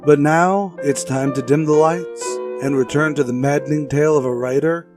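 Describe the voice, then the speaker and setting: A man's voice: on the high side, fairly even intonation, normal speed. A man reading a scripted podcast announcement in the manner of a retro radio show. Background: music.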